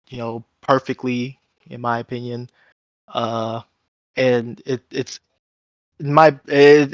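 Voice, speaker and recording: A man co-hosting a casual album review chat, talking in a relaxed way with many fillers. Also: medium pitch, clean tone, dry recording. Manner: relaxed, filler-heavy speech. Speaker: podcast co-host.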